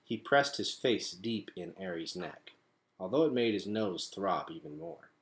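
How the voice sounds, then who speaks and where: A man's voice: low voice, animated, measured pace. A man reading a storybook aloud as a storyteller, with dramatic, emphatic phrasing.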